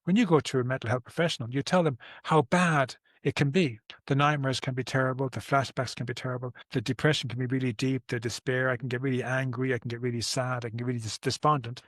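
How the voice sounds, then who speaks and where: A man's voice: medium pitch, fairly even intonation, fast talker, few pauses, no echo, studio quality. A man in his sixties with an Irish accent, a therapist explaining his approach in conversation, earnest and often restarting his words. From Ireland. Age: 60s. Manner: earnest, repeats and restarts words, gives everyday examples.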